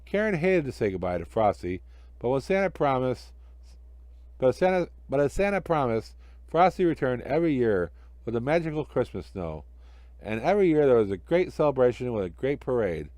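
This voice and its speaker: A man reading a children's story aloud as an expressive storyteller. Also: medium pitch, brisk, no echo.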